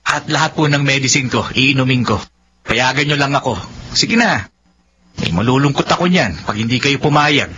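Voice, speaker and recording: A man's voice: mid-range voice, animated, moderate pace, sharp tone. A man acting an earnest, polite young son in a drama, with a Filipino accent. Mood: earnest pleading. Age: young adult. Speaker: actor in a Tagalog drama. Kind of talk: melodramatic drama dialogue. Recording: muffled, faintly noisy recording.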